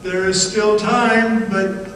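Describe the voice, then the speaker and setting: A solemn male ghost, speaking extremely slowly in a high, intoning voice. The words are drawn out and foreboding, as in vintage radio horror. Immense echo surrounds the voice. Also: little pitch movement, fairly bright tone. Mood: ominous. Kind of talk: old-time radio horror acting. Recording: cavernous echo, distant, hissy.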